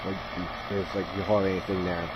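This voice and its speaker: A man casually narrating his radio hobby in a halting, unpolished, informal way. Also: low voice, level tone, background noise. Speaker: shortwave radio hobbyist and video host.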